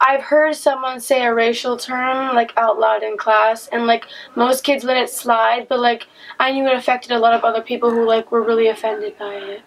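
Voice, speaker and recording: A woman's voice: a little high, normal speed, hardly any pauses, poor audio. A teenage girl in ninth grade, speaking casually with lots of "like" in a documentary interview. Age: teens. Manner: flat, heavy use of "like". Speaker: high school student interviewee.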